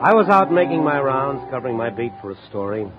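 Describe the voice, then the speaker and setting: An American actor playing a reflective, hardboiled night-beat newspaper reporter in a 1950s radio drama. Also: male voice, mid-range voice, very animated, quick pace, natural voice. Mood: wry, somber. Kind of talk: 1950s radio drama acting, first-person noir narration. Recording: muffled vintage radio recording with music.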